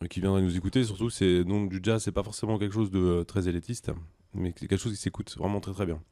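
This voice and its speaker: A man giving conversational interview answers as a music school director, explaining things in a hesitant, halting way. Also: deep voice, steady tone, dark tone, dry recording.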